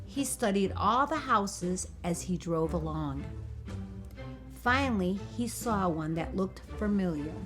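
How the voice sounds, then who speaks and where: A woman reading a picture book aloud for children, in an expressive, animated and emphatic storytelling delivery. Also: medium pitch, takes it slowly. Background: music.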